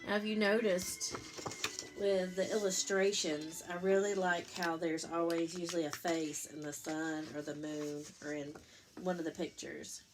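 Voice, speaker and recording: A woman reading a picture book aloud to young children in an expressive, explanatory teacher's manner. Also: on the low side, music in the background, low-quality recording. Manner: expressive, pausing to explain and pose simple questions. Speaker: storytime reader, teacher.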